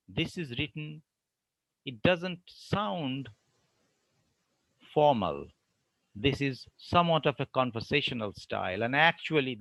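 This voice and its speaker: Slow, deliberate male lecturer. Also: medium pitch, fairly bright tone, dry recording, poor audio. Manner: deliberate, explanatory, pausing between phrases. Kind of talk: online academic lecture.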